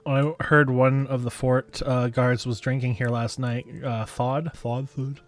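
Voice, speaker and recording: Guy doing chill lets play commentary, mid pitched voice, faint music under it. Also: little pitch movement, a little slow. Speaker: gamer playing through a quest. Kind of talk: casual let's play commentary.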